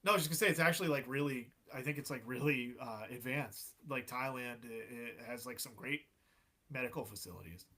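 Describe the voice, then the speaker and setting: A man in his thirties, a guest on a podcast, chatting in a relaxed way with frequent laughs and fillers. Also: mid-range voice, fairly quick. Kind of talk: casual chat.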